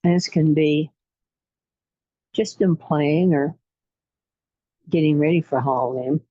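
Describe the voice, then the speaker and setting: A woman leading a guided relaxation meditation in a soothing, unhurried way, pausing between short phrases. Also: sits low, warm tone, no room sound, muffled recording. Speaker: guided imagery facilitator.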